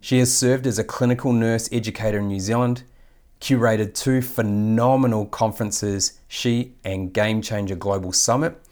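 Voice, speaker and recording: A man with a lowish voice hosts a podcast. He reads a formal, effusive introduction, then moves on to his questions. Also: darkish tone. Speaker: podcast host. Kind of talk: scripted guest introduction and interview.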